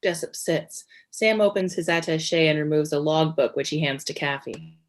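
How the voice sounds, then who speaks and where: Stage directions reader, female: low voice, scripted narration between lines of dialogue. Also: moderate pace.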